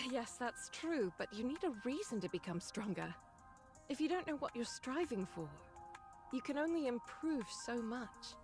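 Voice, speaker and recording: An actress playing a calm, probing female mentor and seasoned fighter in a video game. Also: a little high, measured pace. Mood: wise, amused. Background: game music.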